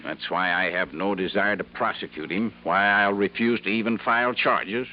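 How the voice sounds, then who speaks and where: An actor playing a stingy, self-important company boss who answers curtly and indignantly. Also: male voice, lowish voice, fairly even intonation, normal speed, natural voice. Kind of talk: 1950s radio drama acting. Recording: dull old radio broadcast with faint hiss.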